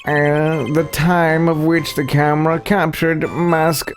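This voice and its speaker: A male streamer reading visual novel dialogue aloud in character, halting and rereading lines as he goes. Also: fairly high pitch, level tone, faint music behind.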